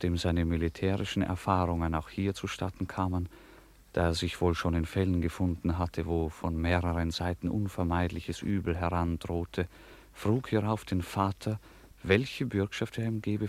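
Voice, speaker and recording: A male narrator with a low voice reads scripted literary prose. His storytelling is formal and measured, in the style of a classic radio play. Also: level tone, a little mellow.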